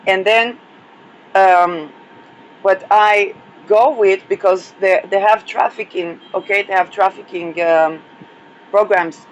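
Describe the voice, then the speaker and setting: A woman's voice: mid-range voice, fairly even intonation, takes it slowly, dull audio. A woman hosting an astrology podcast, losing her voice, talking in a rambling solo monologue full of hesitations and restarts. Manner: hesitant, many fillers and restarts.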